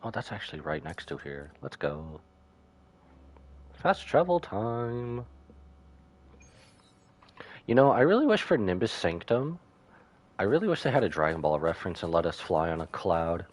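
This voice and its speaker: A man's voice: low-pitched, very animated, moderate pace, long pauses. A man giving casual, joking live commentary as he streams a video game. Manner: joking, offhand. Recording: faint game music behind.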